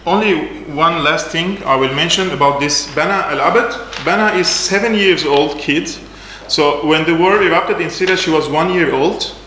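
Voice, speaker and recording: A man's voice: fairly high pitch, normal speed, sharp tone, a little hiss or hum. A man in his thirties giving a slide talk in a small attic lecture room, speaking with fillers and slightly non-native phrasing. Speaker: presenter. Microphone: far camera, no mic seen. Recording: echoey room from a distance.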